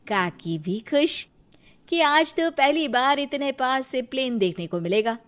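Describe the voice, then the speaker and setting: A woman narrating a scripted comic moral story in an animated storytelling style. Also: high voice, unhurried.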